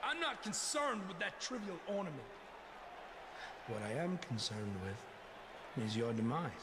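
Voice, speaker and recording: A man's voice: a little high, expressive, measured pace. A man playing a menacing, cult-like wrestling villain in a video game, giving an ominous, taunting, theatrical monologue. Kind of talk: video game cutscene acting. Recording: long hall reverb, noisy arena.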